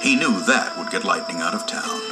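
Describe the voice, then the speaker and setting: A man narrating a children's storybook from a script in a dramatic, expressive storytelling manner. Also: deep voice, brisk, over loud music. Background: loud musical score.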